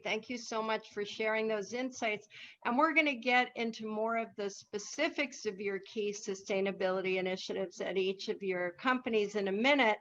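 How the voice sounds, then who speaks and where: Woman, fairly high voice, polite moderator, dull audio. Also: fairly bright tone. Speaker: panel moderator. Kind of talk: moderating a panel.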